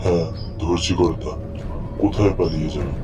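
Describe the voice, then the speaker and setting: A man's voice: a bass voice, moderate pace, over music. A man voicing an obedient, sinister skeleton henchman who gloats and taunts in a horror cartoon. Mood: gloating, menacing.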